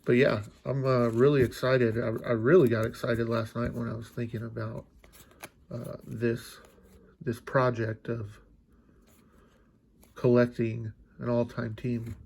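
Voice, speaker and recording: A man's voice: mid-range voice, little pitch movement, unhurried, vocal fry, darkish tone, slight background noise. A congested, stuffy-sounding man opening card packs and chatting casually, musing aloud with lots of fillers. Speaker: card collecting channel host. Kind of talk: casual pack-opening commentary.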